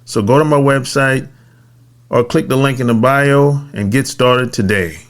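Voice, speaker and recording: A man hosting a podcast at an unhurried, measured pace, leaning on his words like an announcer. His voice sits at an ordinary middle pitch, and he reads sponsor spots and guest introductions with emphasis. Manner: slow, deliberate announcer-style delivery with emphatic phrasing. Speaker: podcast host.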